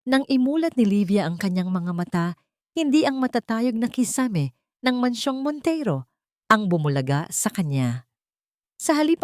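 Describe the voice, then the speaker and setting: A woman narrating a scripted dramatic audio story in a measured, literary way. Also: mid-range voice, darkish tone, dry recording, studio quality.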